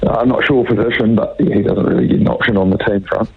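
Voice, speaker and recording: Casting: New Zealand man, thirties, croaky and low, hesitant rugby player, muffled phone line. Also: fairly even intonation, a little hiss or hum. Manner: halting, many fillers. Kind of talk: radio phone interview.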